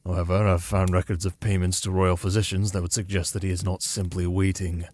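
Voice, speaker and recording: A man's voice: low voice, little pitch movement, a little fast, darkish tone. A man playing a wry young noble heir and plotter in a fantasy audio drama, speaking drily and formally. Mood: wry, conspiratorial.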